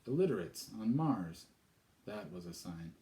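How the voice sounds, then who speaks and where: A man reading a novel aloud, pausing for relaxed, chatty asides. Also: deep voice. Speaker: storyteller. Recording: echoey room.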